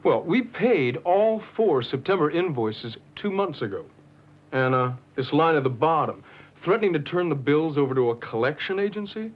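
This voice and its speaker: Polite firm manager, measured, slightly high voice, lively pitch, muffled film sound. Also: male voice. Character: calm office manager querying a bill.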